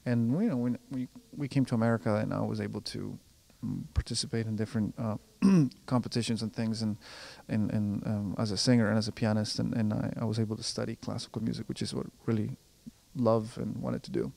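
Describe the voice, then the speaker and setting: A young man from Colombia, whose first language is Spanish, answers interview questions in a low, gravelly voice. His pitch barely moves as he goes, and he stumbles through restarts and fillers while he thinks aloud. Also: fairly even intonation, brisk, hardly any pauses, a little mellow. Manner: hesitant, many restarts and fillers. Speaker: composer and pianist.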